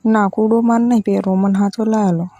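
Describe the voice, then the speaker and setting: A woman's voice: medium pitch, steady tone, fairly quick, dark tone, no room sound, natural voice. A woman with a Gujarati accent playing a demanding village in-law who wheedles insistently in a casual phone conversation. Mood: sulky, demanding.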